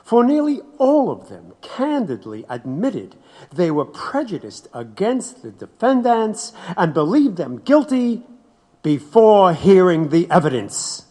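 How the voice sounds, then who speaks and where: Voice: mid-range voice, very animated, measured pace, warm tone. An actor playing an indignant advocate denouncing a biased trial in a formal, emphatic historical drama monologue. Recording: long hall reverb.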